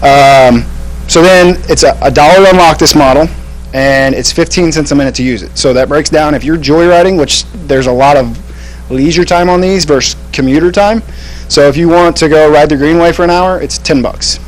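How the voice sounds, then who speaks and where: A man, a contractor, demoing scooters to a council in a loud, plainspoken, casual way. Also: fairly high pitch, normal speed, faint music behind, low-quality recording. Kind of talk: casual demonstration. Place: city council chamber.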